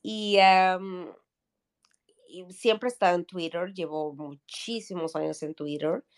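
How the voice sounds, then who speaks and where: A woman's voice: lowish voice, steady tone, slow. A woman in her thirties from Mexico City with a Mexico City Spanish accent, a podcast guest chatting casually with many fillers and tag questions. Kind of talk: casual chat.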